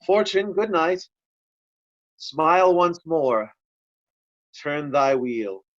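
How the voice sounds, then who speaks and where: An actor playing a weary, loyal, stubborn nobleman in a Shakespeare read-through, speaking halting verse. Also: on the high side, lively intonation, dry recording, muffled recording. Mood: weary, hopeful.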